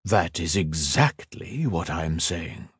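A man voicing a cold, mocking villainous male sorcerer who taunts slowly and theatrically. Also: sits low, animated, breathy edge, no echo, clean studio sound, full character voice. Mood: taunting.

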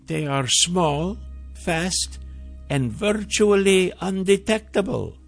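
A man acts out a weary sorcerer from a fantasy audiobook. The voice sits fairly high and is heavily creaky. He speaks slowly and solemnly, weighing each phrase with gentle earnestness. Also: lively intonation, faint music behind, exaggerated voice. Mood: composed, intent. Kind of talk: fantasy audiobook character dialogue.

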